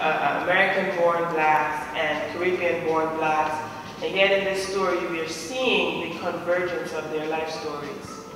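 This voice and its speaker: A man in his forties from Jamaica, a panelist speaking thoughtfully and searching for words at a discussion. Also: fairly high pitch. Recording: far off mic in an echoey hall.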